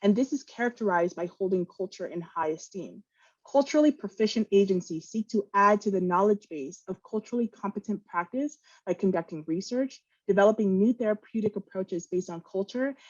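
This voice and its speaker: A woman's voice: mid-range voice, fairly quick, a little mellow, muffled recording. Earnest woman presenting a training webinar. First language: Vietnamese. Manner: earnest, talks with frequent fillers and restarts.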